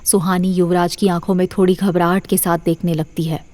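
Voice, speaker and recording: A woman's voice: on the low side, level tone, fairly quick, dark tone. A woman narrator reading a scripted horror story for an audio drama series.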